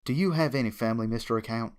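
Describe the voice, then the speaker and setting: A man's voice: mid-range voice, very fast, clean tone, close to an everyday voice. A man plays a serious farm mare from a pony story with a drawl. She is direct, folksy and honest. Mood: challenging. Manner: plain-spoken, direct, folksy.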